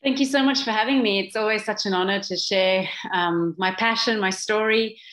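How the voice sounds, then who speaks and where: A woman's voice: mid-range voice, normal speed, a bit bright. A woman in her forties, from Switzerland, with a slight Australian twang, speaking as guest on a video call. She talks earnestly and with passion, with many fillers and restarts. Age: 40s. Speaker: guest speaker, social business founder. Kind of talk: online talk with a moderator.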